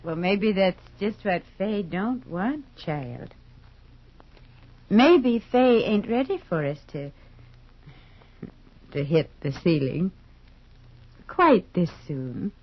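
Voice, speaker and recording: A woman's voice: lowish voice, very animated, takes it slowly, long pauses, no room sound, heavily acted. An actress playing a kindly, patient older small-town mother in a 1950s radio soap, speaking in a folksy, hesitant, soothing way. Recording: dull, noisy 1950s radio recording.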